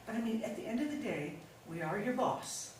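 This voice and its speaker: A woman's voice: a little high, animated, brisk, darkish tone, natural voice. Echoey, coaxing middle-aged wife, acted by a woman. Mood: solemn, controlling. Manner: coaxing, pressuring, falsely sweet. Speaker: actor in a staged reading. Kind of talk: stage play reading. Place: indoor staged reading, mic far off. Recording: very echoey room, distant mic, faint noise.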